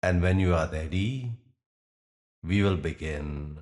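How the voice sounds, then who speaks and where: A man guiding a meditation in soothing, measured phrases with long, deliberate pauses. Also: low-pitched, darkish tone. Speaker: meditation guide. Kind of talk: guided meditation narration.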